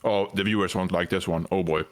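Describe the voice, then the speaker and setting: A man's voice: sits low, steady tone, fairly quick. A man from Sweden, a podcast co-host, chatting casually in a laid-back way and often trailing off with fillers. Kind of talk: casual chat.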